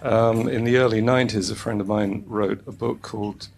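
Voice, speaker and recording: A man's voice: on the low side, fairly even intonation, normal speed, creaky, slight background noise. A man in an audience asking a rambling, conversational question in a hesitant, discursive way.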